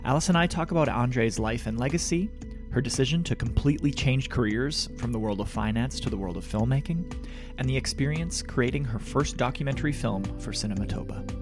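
A man hosting a podcast, reading a scripted intro and then asking relaxed, easygoing interview questions. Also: mid-range voice, a little fast, over music. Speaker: podcast host.

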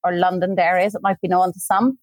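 A woman's voice: lowish voice, level tone, fast talker, darkish tone, dry recording, studio quality. A woman in her forties from Belfast with a Belfast accent, chatting at length as a podcast guest over a video call from home. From Northern Ireland. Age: 40s. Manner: chatty, talks at length with asides. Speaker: guest, tour company founder. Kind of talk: casual conversation.

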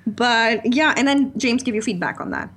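A woman's voice: fairly high pitch, expressive, normal speed, a bit bright, a little hiss or hum. A woman in her thirties from Pakistan, chatting candidly as an interview guest and often slipping in "you know". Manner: chatty and candid, laughs easily, many "you know" asides. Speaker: guest, freelance writer. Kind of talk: casual interview chat.

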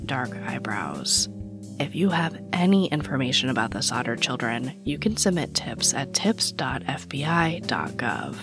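A young woman hosting a true crime podcast who is losing her voice, raspy and soft-spoken, earnest, with frequent ums. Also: low voice. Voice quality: raspy, whispery. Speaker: podcast host. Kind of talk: true crime podcast narration and casual after-show chat.

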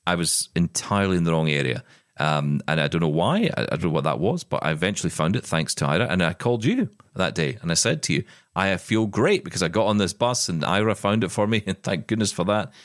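A man's voice: low voice, expressive, quick pace, dry recording, studio quality. A man co-hosting a podcast, chatting casually in a rambling way and often repeating words as he starts sentences. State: blind, describing progressive vision loss. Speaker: podcast co-host. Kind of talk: casual chat.

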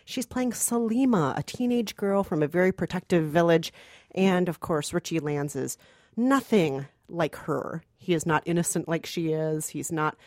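A woman's voice: on the low side, lively intonation, normal speed, a little mellow, no echo, clean studio sound. A woman co-hosting a movie podcast as a culture producer, conversationally discussing and reviewing films.

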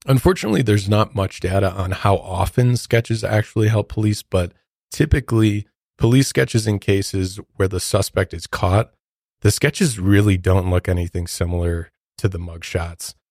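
Podcast host, American man, low register, conversational true crime storytelling, dry studio sound. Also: moderate pace, creaky, a little mellow, dry recording. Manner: conversational, informal asides.

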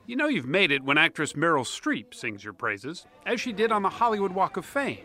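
A man's voice: fairly high pitch, wildly swooping pitch, fairly quick, sharp tone. A man narrating a TV news feature in a polished storytelling way. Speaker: TV news correspondent.

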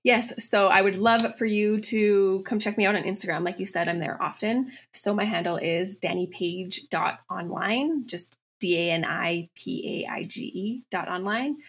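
A young woman from Canada, a copywriter guest on a podcast, talking in a relaxed, chatty way. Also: mid-range voice, moderate pace, hardly any pauses, husky. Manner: relaxed, chatty, lots of fillers. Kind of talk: casual interview chat.

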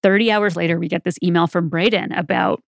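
A woman podcast co-host speaks in a somewhat low register with clear creak in her voice. She chats casually, reflecting through personal anecdotes. The recording is close and dry. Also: fast, no echo. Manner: conversational, anecdotal. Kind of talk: casual chat, reflecting on the show.

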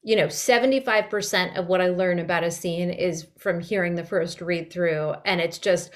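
A woman's voice: lowish voice, normal speed. A woman speaking as a director and interview guest, casual and reflective, rephrasing as she thinks.

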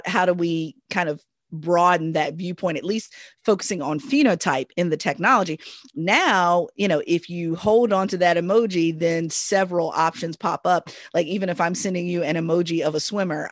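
A woman's voice: on the low side, normal speed, no room sound, studio quality. A woman co-hosting a casual conversation, thoughtful and questioning, talking things through. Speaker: podcast co-host.

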